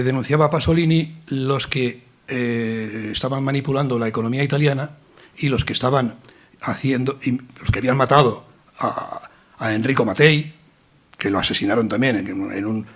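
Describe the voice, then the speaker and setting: Husky man with a rambling delivery. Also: medium pitch. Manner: rambling, many restarts and fillers. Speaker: invited speaker. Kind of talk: lecture-style talk in a colloquium.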